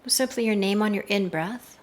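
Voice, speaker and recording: A woman leading a slow guided meditation in a measured, hushed voice that often softens into breathiness, recorded outdoors. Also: mid-range voice. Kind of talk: slow, soothing guided meditation with hypnotic induction. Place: outdoors in a garden. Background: faint outdoor garden ambience.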